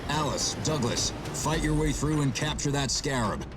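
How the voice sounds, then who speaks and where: An actor playing a resolute starship captain in a video game, giving firm, authoritative military orders. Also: male voice, fairly high pitch, lively intonation, moderate pace, very noisy, lightly acted. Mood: commanding, urgent. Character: resolute human starship captain, commanding, steady military leader. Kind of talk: dramatic video game cutscene acting.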